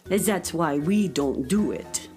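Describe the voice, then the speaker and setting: Woman playing firm, exasperated cat mother, lively pitch. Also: slow, soft background music. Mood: firm, lecturing. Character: patient but firm cat mother of a messy daughter. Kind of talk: children's radio play acting.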